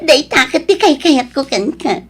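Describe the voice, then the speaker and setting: A woman acting a high-voiced, coy young mistress. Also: very animated, unhurried. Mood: coy, teasing. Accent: Ilocano. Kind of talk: melodramatic radio drama acting.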